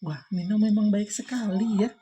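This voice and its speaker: A woman's voice: mid-range voice, normal speed, dark tone, a little hiss or hum. A woman reading a children's story aloud expressively, engaging young listeners.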